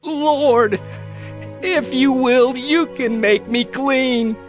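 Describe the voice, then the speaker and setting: A man's voice: very high-pitched, very slow, faint music behind, exaggerated voice. A man playing a humble, imploring supplicant in a dramatized scripture recording. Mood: desperate begging. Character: humble, pleading man begging before his lord. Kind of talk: dramatized scripture audio acting.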